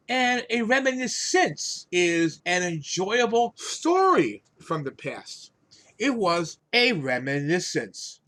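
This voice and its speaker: A man giving an English vocabulary lesson, explaining words in a deliberate, instructive way with repeated phrases and simple examples. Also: a little high, a bit bright. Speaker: English teacher, host of the lesson.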